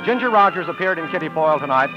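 A man announcing on 1940s radio from a script, formal, measured and emphatic. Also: fairly high pitch, lively intonation. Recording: muffled vintage radio recording, faint music.

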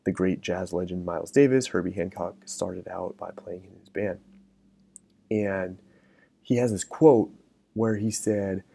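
Young male podcast host, deep and gravelly, musing and unhurried with fillers. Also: dark tone. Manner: thoughtful, meandering, with many fillers. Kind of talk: reflective solo podcast talk.

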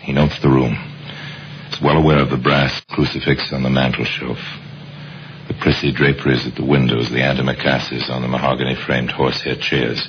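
Very deep, ominous radio crime narrator. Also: male voice, little pitch movement. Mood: grave, ominous. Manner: measured, dramatic, clipped deliberate phrasing. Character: grave, ominous male narrator of macabre crime tales. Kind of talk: old-time radio mystery narration. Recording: dull, noisy old radio, long reverb.

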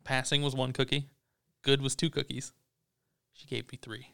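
A man's voice: mid-range voice, little pitch movement, moderate pace, vocal fry, bright, forceful tone, clear, resonant tone. A man co-hosting a casual podcast chat, joking and boastful, swearing freely. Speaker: podcast co-host. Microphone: headphones on, podcast mic.

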